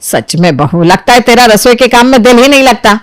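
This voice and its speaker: A woman's voice: fairly high pitch, animated, brisk, dry recording, studio quality, lightly acted. A woman voicing a blunt older mother-in-law, a grudging matriarch with a chiding, matter-of-fact manner. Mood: disapproving.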